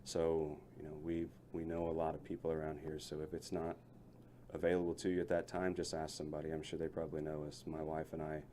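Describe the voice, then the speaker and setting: A man's voice: very deep voice, monotone, fast talker, warm tone, a little hiss or hum. A middle-aged man, a local business owner, chatting in a radio interview in a folksy, rambling way, often restarting his sentences. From Lebanon, Missouri area. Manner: folksy, rambling, self-correcting.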